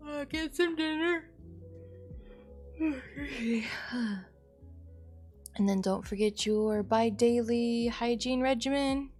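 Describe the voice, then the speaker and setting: A woman with a fairly high voice hosts a casual livestream with faint music behind her. Her talk is playful and expressive, wandering from one thought to the next, with a slightly sleepy feel. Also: drawn-out words, long pauses. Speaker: live streamer, host. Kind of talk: casual chatty livestream sign-off.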